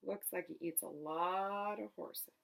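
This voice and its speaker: A woman's voice: on the low side, measured pace, vocal fry, noisy background. A woman storyteller reading a children's picture book aloud expressively, with little asides to the listeners.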